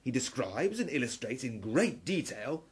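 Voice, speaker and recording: A man's voice: medium pitch, sing-song, normal speed, slightly rough. A man playing a learned, wry Victorian professor in a steampunk radio play, articulate and dramatically lecturing.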